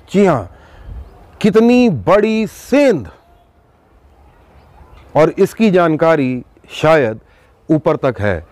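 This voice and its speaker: Man, fairly high voice, news exposé host, emphatic delivery, rhetorical questions, dramatic pauses, very dry sound. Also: a little mellow, no room sound. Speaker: host of an investigative news show. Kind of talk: news exposé monologue to viewers.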